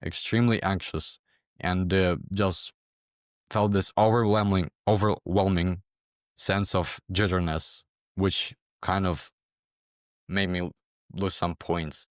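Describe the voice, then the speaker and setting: Low-voiced young man from Ukraine. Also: steady tone, takes it slowly, dry recording, clean studio sound. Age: young adult. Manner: hesitant, repeats words, many fillers. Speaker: interview guest, former exam candidate. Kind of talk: casual interview talk.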